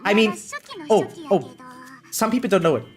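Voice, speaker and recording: A woman's voice: medium pitch, normal speed, faint music behind. A woman game streamer chatting casually and opinionatedly on a live stream, swearing freely and often checking in with her listeners. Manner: animated, opinionated venting with rhetorical check-ins.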